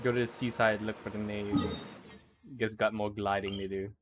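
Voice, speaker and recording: A man's voice: lowish voice, flat delivery, moderate pace. A man livestreaming a game, giving casual, chatty commentary. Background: game music.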